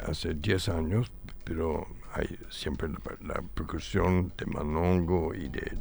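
Low-voiced middle-aged man, halting and gravelly. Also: darkish tone. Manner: halting, searching for words. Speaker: guest musician. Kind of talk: casual radio interview.

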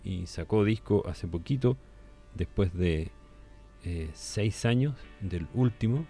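Male radio host. Deep, dark voice, relaxed conversational style. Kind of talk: casual radio talk.

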